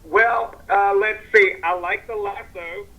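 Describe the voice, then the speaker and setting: A man's voice: high-pitched, steady tone, takes it slowly, a crowd in the background. A man with a Louisiana Southern accent calling in to a live stream, friendly and drawling, saying y'all. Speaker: caller. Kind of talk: casual phone call. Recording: phone line with faint noise.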